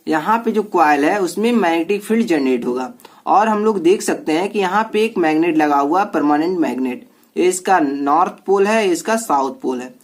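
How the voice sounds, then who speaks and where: Male teacher, fairly high voice, informal explanatory delivery, repeats points and checks in often. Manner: repetitive step-by-step explaining with frequent check-in tags. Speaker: electronics teacher.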